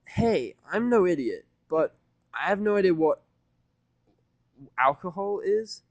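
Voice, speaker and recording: Man voicing a cheeky female cartoonish pony, raised pitch, boastful, slangy, emphatic, storybook reading. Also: lively intonation, slow, no echo. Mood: indignant, baffled. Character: brash, cheeky, excitable young female pony.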